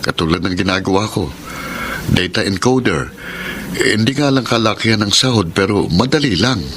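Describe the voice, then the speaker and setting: An actor playing a gentle, earnest, self-sacrificing young man in love in a Filipino radio drama. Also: fairly low pitch, lively intonation, normal speed, slight background noise. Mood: concerned, reassuring.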